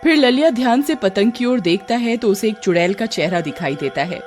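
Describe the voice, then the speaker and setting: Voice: medium pitch, expressive, fairly quick. A narrator telling a scripted horror story in the third person. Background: music.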